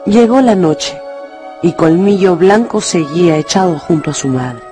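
A woman narrating a dramatized radio novel with expressive storytelling. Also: on the low side, unhurried, faint music behind.

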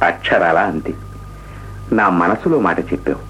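An actor playing a smitten, courtly stranger in a radio play, speaking in a measured, poetic, gallant way. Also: male voice, low voice, expressive, fairly bright tone. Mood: smitten, wistful. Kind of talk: theatrical radio play acting. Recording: dull, noisy old radio play recording.

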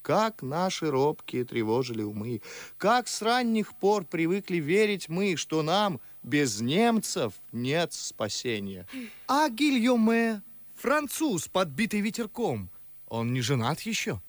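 An actor playing a witty, talkative, mocking young gentleman in an ardent, ironic verse radio drama. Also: fairly high pitch, big pitch swings, slow pace, dry recording. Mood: ironic, lively. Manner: ardent, ironic, theatrical declamation. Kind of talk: Russian classical verse radio drama acting.

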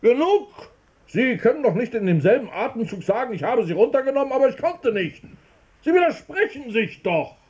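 Fussy bossy doctor in a radio play, scolding, soft-edged tone, pitch all over the place. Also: male voice, big pitch swings, fairly quick, breathy edge, full character voice. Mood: shouting indignantly. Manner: scolding, commanding, pedantic. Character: exasperated pedantic male doctor, quickly indignant. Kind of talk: German radio play acting.

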